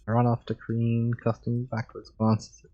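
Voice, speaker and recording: A man livestreaming a game and reading visual novel narration aloud in a relaxed, chilled manner. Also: mid-range voice, moderate pace, dull audio. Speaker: game streamer.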